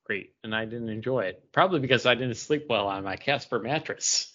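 A man's voice: mid-range voice, a little fast, sharp tone, dull audio. A man co-hosting a podcast, chatting casually about comic books in a joking, self-deprecating way. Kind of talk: casual comic book review chat.

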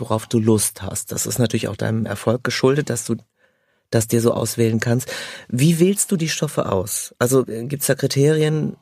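A man with a mid-pitched voice works as interviewer. He speaks conversationally and in a measured, discursive manner. The sound is close and dry. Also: darkish tone, no room sound.

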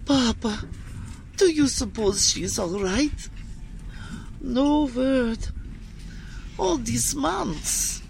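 A woman's voice: a little high, lively intonation, extremely slow, vocal fry, long pauses, darkish tone, slight background noise, full character voice. A woman acting a fretful middle-aged immigrant wife, hesitant and earnest, in a radio drama. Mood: alarmed, anxious.

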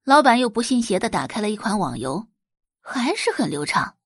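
A woman's voice: mid-range voice, big pitch swings, moderate pace, husky, no room sound, studio quality. A woman reading scripted, dramatic story narration for an audio drama.

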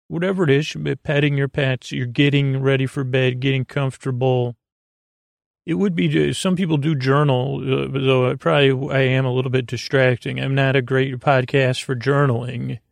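A man with a creaky voice hosting a bedtime podcast, meandering hesitantly through a soothing, goofy monologue that keeps interrupting itself. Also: mid-range voice, no echo, studio quality. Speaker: podcast host.

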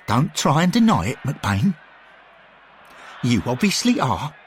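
A man's voice: medium pitch, big pitch swings, slow, slight background noise, pitched above the performer's own voice. A man voicing an older, stern male football fan who scolds and gives commands.

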